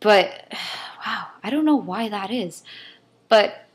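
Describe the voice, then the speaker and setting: A young woman speaks in a Californian accent flecked with a Chinese-immigrant lilt, her voice sitting at an ordinary middle pitch. She talks in a loose, thinking-aloud way, pausing on ums and trailing off mid-thought as she rants to her camera. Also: lively intonation. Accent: California, mixed with a Chinese-immigrant lilt. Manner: rambling, hesitant, thinking aloud with many ums. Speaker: vlogger. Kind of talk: casual rant to camera.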